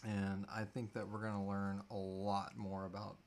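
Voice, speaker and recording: A man's voice: on the low side, completely flat delivery, moderate pace. A man in his thirties chatting casually and jokingly as co-host of a live Q&A. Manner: jokey, playful. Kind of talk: casual chat. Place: small room indoors, on a couch.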